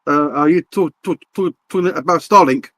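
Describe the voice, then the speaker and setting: A man's voice: fairly high pitch, moderate pace, no echo. A man streaming a game, chatting casually with friends in a rambling, stammering way and swearing often. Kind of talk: casual voice chat while gaming.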